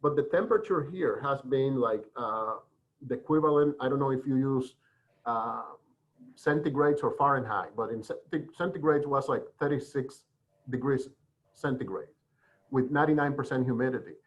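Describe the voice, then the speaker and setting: Middle-aged male medical expert, discursive webinar delivery, medium pitch, muffled lo-fi call. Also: normal speed. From Dominican Republic. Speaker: forensic pathologist, guest speaker. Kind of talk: explanatory webinar talk. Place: online video call. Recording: dull lo-fi web call audio.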